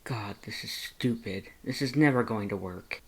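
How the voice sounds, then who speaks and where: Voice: medium pitch, normal speed, slightly rough, natural voice. A narrator voicing a shy, grumbly male monster in a fan fiction audiobook, murmuring and pouting. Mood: embarrassed, despairing.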